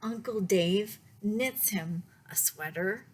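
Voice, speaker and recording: A woman reading a picture book aloud for story time in a deliberate, expressive way, pausing to speak to her young listeners. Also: mid-range voice, slightly rough. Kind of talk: read-aloud children's storytime, with asides to listeners.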